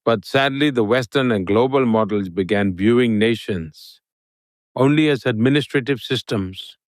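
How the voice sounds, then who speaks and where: A man giving an interview guest's answers in English, with a steady, formal delivery like a prepared speech. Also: medium pitch, moderate pace, no echo, studio quality.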